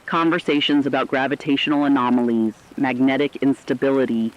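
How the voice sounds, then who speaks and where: A man's voice: medium pitch, fairly even intonation, brisk, highs cut off, close to an everyday voice. A man playing a solemn radio correspondent, reflective and formal, in a scripted catastrophe drama.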